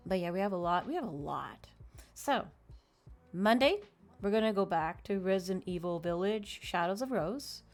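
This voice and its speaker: A woman's voice: mid-range voice, animated, moderate pace. A woman live streamer chatting casually and informally to her viewers in a friendly way. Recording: music playing behind the voice. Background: background music.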